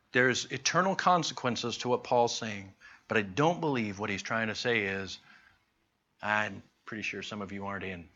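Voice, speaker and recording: A man teaching a Bible class in an earnest, conversational way, slowing down to stress his points. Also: mid-range voice, bright, forceful tone, slightly rough. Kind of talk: sermon-style Bible teaching. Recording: dull lo-fi recording.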